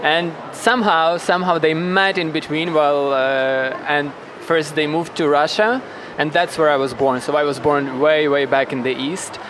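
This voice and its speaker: Higher-pitched Eastern European man, noisy outdoor recording. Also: steady tone, moderate pace, hardly any pauses. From western Ukraine. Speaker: podcast guest. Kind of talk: casual interview.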